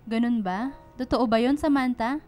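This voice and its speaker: A woman acts out a hardworking Filipino mother in a radio drama. Her voice is high and maternal, firm and questioning but caring at heart. Faint music plays behind her. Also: moderate pace, darkish tone, natural voice. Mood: firm, relenting. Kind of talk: Tagalog radio drama acting.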